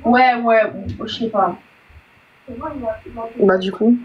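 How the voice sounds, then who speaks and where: Calm, reassuring woman on a live stream. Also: fairly high pitch, slow, dull audio, a little hiss or hum. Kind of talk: casual livestream chat. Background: music.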